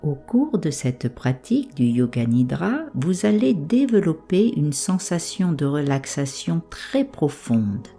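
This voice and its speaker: Low, mellow, slow-speaking woman guiding meditation. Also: breathy edge, faint music behind. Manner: calm, soothing, measured instructions with pauses. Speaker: meditation guide. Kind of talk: slow guided yoga nidra relaxation.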